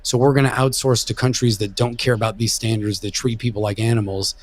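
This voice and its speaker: A man's voice: lowish voice, little pitch movement, fast talker, slight background noise. A man talking casually but earnestly as a podcast panelist, giving firm opinions. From Caribbean.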